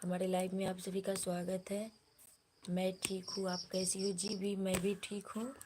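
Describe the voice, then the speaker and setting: A woman's voice: mid-range voice, level tone, normal speed, background noise. A woman from Bihar, hosting a casual live stream, greeting viewers by name and asking them to comment. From Bihar, India.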